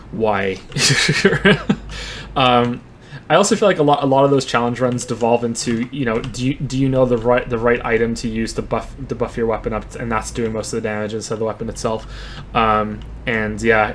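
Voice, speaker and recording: A man's voice: mid-range voice, slight background noise. A man answering viewer questions on a live stream while playing a game. He talks casually and often repeats words as he thinks. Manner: plays a driving game while talking.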